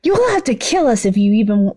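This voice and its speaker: A woman's voice: on the high side, sing-song, fairly quick, dark tone. A woman voicing a hot-headed, fiercely protective teenage boy hero student who snaps defiantly, in a story reading. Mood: threatening. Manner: defiant, snapping.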